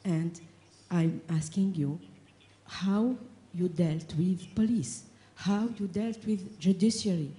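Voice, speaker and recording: A woman's voice: fairly low pitch, slow, mellow. A woman from Tunisia asks the panel an earnest question from the floor, searching for words and repeating herself as she goes. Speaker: audience member asking a question.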